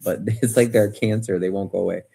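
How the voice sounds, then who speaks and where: Animated, rambling American man livestreaming. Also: fairly low pitch, fast talker, gravelly, husky. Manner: animated, opinionated, rambling, punctuated by emphatic repetition. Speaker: livestream host. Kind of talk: casual livestream chat and political commentary, reading viewer comments.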